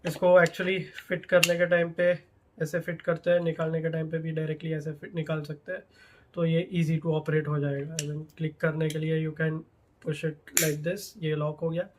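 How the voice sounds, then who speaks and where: Indian-accented product reviewer, very monotone, casual unboxing narration, Hindi-English mix, noisy recording. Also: mid-range voice, moderate pace. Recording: clear background noise, handling clicks.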